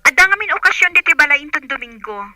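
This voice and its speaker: Excitable, high-pitched Filipino friend. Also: female voice, fast talker, no echo, soft background music, full character voice. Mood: excited, insistent. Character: excitable female friend on the telephone. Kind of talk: radio drama acting.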